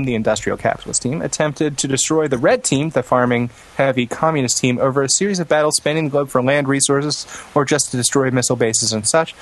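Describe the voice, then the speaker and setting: A man hosting a podcast in casual, joking chat with a dry, sarcastic manner. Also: mid-range voice. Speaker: podcast host.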